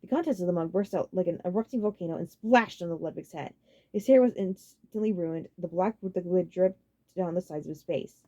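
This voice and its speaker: Woman audiobook narrator, expressive storytelling, quick pace, lowish mellow voice, animated pitch. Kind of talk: dramatic scripted narration.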